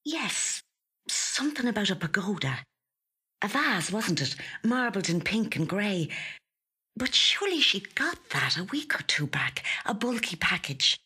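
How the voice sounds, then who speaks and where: Woman narrator doing an obsessive fretful wife in a story, breathy, muttering to herself. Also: medium pitch, very animated, a little slow, pushed and bright, voice pushed up, full character voice. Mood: distracted, groping. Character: frail, obsessive, distracted wife.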